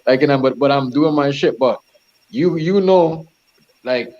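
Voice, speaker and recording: A man's voice: medium pitch, a little slow. A man born in Jamaica and raised in Toronto, a chef talking in a casual chat, rambling with many fillers and restarts and swearing freely. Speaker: chef, co-host. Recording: dull lo-fi sound.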